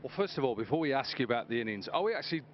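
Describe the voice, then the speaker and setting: A man working as a cricket pundit in a pitch-side interview, chatty and joking with the player. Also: sing-song, a little hiss or hum. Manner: brisk, jokey and chatty. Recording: slightly dull outdoor broadcast audio.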